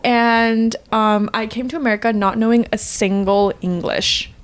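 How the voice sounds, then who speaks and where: Podcast co-host, young woman, Korean first language, giggly, a touch high-pitched. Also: fairly even intonation, moderate pace, smooth. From South Korea. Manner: giggly, laughs at her own jokes. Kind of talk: casual chat.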